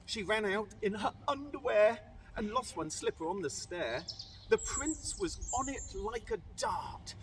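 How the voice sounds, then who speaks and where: Animated British male storyteller, unhurried. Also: sits high. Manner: theatrical, emphatic. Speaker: primary school teacher reading aloud. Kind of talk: expressive children's storytelling in rhyming verse. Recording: distant microphone, faint background noise.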